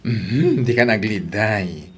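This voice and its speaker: A man playing an affectionate, excitable relative in a Filipino audio drama, expressive and coaxing. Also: fairly low pitch, slow, husky, exaggerated voice. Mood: tender, advising.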